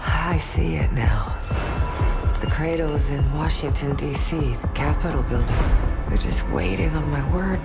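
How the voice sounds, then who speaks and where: Low, slow-speaking fading female operative. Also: animated. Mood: grave, ominous. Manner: halting, strained, grave. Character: fading traitorous female intelligence operative fighting for control. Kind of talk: cinematic video game acting. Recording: loud dramatic music behind.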